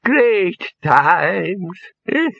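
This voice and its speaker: A man voicing a very old, rambling male wizard who reminisces in a high, quavering, chuckling voice. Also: sing-song, extremely slow, gravelly, a bit bright, husky, heavily acted. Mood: gleefully rambling. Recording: dull lo-fi, dry.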